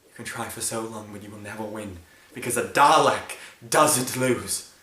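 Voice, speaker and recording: Man acting a brooding, forceful, homeless time-traveller. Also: fairly low pitch, little pitch movement, lightly acted. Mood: angry. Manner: halting, brooding, building to anger. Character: brooding, grieving, angry time-travelling alien without a home planet. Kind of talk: improvised dramatic monologue in a fan film. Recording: roomy sound with faint hiss.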